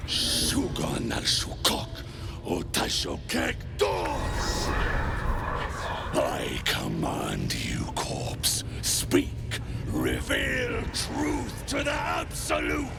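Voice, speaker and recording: An actor voicing a domineering male hobgoblin who barks orders and shouts incantations in a gruff, gravelly voice, in a video game. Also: extremely low pitch, huge pitch swings, very slow, over loud music, exaggerated voice. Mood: chanting commands. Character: brutish male hobgoblin cult enforcer. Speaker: villain.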